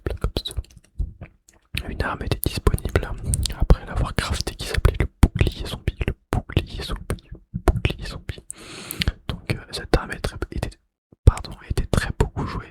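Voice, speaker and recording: A man whispering in a breathy, unvoiced voice with mouth sounds, in an ASMR style, softly repeating phrases. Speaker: ASMR video creator and host.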